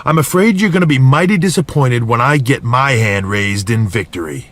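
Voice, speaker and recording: A man's voice: medium pitch, animated, moderate pace, lightly acted. An actor playing a self-assured, taunting male wrestler in a video game cutscene.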